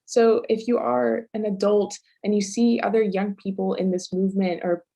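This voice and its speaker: A teenage girl talks with passion in a casual, chatty way, often saying "like". Her voice has a gravelly fry running through it and sits in a middle pitch. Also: little pitch movement, moderate pace, dark tone. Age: teens. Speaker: guest author and activist. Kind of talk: panel discussion answers.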